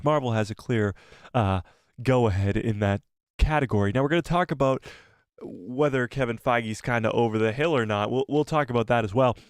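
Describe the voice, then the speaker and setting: A man hosting a live stream while fighting a cold, his voice worn and fading. He talks in an easy, chatty way and breaks into excited outbursts as he greets the chat. His voice sits at an ordinary pitch, in a dry, close sound with no room echo. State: has a cold, losing his voice.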